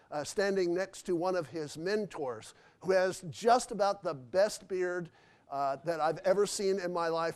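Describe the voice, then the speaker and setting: A man fields audience questions after a lecture in a hotel convention hall. His voice sits high for a man, and he wanders through his answers with frequent fillers and restarts. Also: smooth. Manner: rambling, many fillers and restarts.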